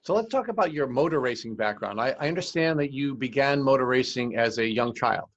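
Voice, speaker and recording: A man hosting a podcast, reading a formal introduction and then asking interview questions in a measured way. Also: medium pitch, animated, fairly bright tone, no room sound, dull audio. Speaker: podcast host.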